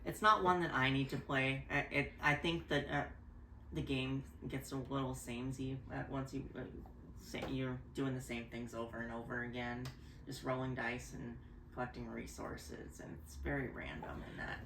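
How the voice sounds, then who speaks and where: A man's voice: medium pitch, little pitch movement, normal speed, background noise. A man co-hosting a board game review show. He explains game rules casually and hesitantly, with many "uh" fillers. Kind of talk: casual chat. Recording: roomy echo.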